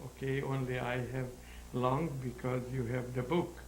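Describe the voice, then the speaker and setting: Elderly male guest, halting phrasing, slow and creaky, echoey room. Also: mid-range voice, little pitch movement. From Hungary. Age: 90s. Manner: halting, searching for words, non-native grammar. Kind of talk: interview answers. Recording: roomy echo with faint hum.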